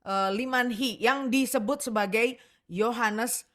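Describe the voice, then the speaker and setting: Higher-pitched Indonesian woman hosting a chat show. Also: slow pace. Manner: chatty, expressive, giggly. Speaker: talk show host.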